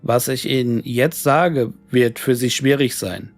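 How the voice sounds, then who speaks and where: A man narrates a scripted horror story in pronunciation. His mid-pitched voice carries a clear gravelly creak. Music plays underneath the reading. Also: measured pace, no echo.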